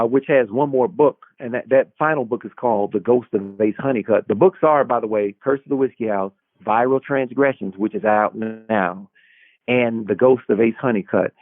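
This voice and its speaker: A man answering as an interviewed author, conversational, with a halting, deliberate delivery. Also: medium pitch, no echo. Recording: tinny narrow phone line.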